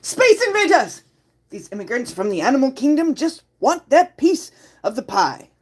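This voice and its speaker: A woman's voice: sits high, very animated, moderate pace, a little hiss or hum. A woman hosting a casual livestream, playful, often laughing and reading chat aloud. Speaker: livestream host.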